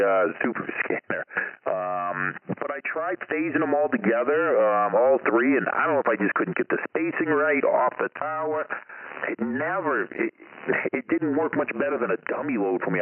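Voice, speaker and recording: A man talks on a CB radio link with a narrow, tinny sound. His voice sits at an ordinary male pitch, and he rambles through casual hobby chat with some profanity. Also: husky. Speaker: ham-style CB radio hobbyist. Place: two-way CB radio hookup.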